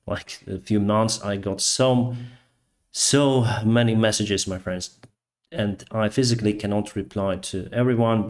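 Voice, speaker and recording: A Ukrainian-accented man talks slowly and unhurriedly as host of a casual live stream. His voice sits fairly low. He gropes for words in English, a language he learned later, and leaves many hesitant "um"s and "uh"s.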